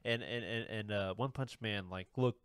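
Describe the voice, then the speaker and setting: A man's voice: fairly low pitch, normal speed, fairly bright tone, clean tone, dry recording, studio quality. A man co-hosting a podcast in casual, conversational chat. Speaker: podcast co-host. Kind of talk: casual chat about anime and manga.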